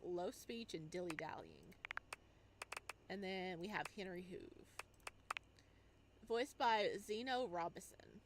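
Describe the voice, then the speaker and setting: A woman hosting a game playthrough, reading on-screen text aloud and reacting casually as she goes. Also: mid-range voice. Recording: clear background noise under the voice.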